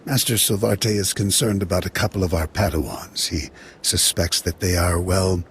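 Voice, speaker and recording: A measured Jedi instructor in a video game. His voice is very low and gravelly, his delivery formal and tactful. Faint ambient noise lies behind. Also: steady tone. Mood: calm, tactful. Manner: formal, careful.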